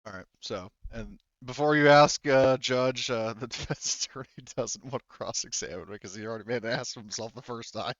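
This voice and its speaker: A man working as game master, improvising tabletop game narration in a halting, rambling, informal way. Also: medium pitch, fairly bright tone, no echo, dull audio.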